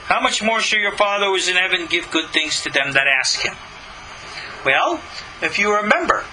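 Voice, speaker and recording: Bright, high-pitched man teaching as a rabbi. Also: slight background noise, low-quality recording. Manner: emphatic, argumentative, with rhetorical questions. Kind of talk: religious lecture with scripture reading and commentary.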